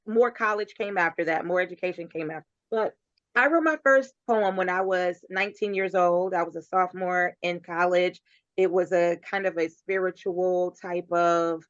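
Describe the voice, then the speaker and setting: A woman's voice: medium pitch, no echo. Chatty woman poet, dull dry sound. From Covington, Kentucky. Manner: chatty, laughs often, restarts when telling a story. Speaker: guest poet. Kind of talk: casual interview talk.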